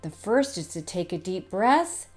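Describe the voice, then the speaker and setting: A woman's voice: on the low side, sing-song, measured pace. A helpful, curious monkey acted out by a woman gives coaxing advice in a storybook read-aloud. Faint music plays behind the instructive lines. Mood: advising.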